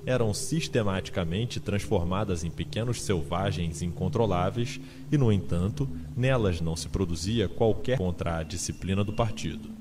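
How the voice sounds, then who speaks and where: A man with a low voice narrates a literary audiobook. His reading is dramatized and scripted, set over a clearly audible bed of ambient sound effects. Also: background noise.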